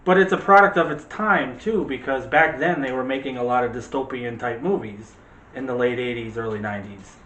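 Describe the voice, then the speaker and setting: A man's voice: medium pitch, fairly quick, fairly bright tone, slight background noise. A man hosting a movie discussion show in a casual, chatty and opinionated way. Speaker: host of a movie review show. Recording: echoey room.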